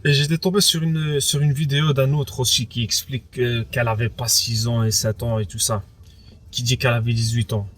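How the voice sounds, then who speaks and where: Hesitant French-Moroccan man, slightly high voice. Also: a bit bright. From France, of Moroccan background. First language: Arabic speaker as well. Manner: hesitant, repeats words, many fillers like "entre guillemets" and "quoi". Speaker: interviewee. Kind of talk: personal testimony, casual spoken.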